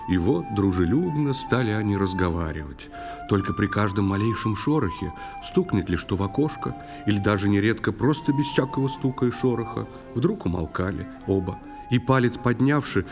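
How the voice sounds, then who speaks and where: Storyteller, low voice, measured literary verse narration, children's audio play, prominent music underneath. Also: male voice. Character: male storyteller narrating a verse fairy tale. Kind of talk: scripted dramatic verse narration.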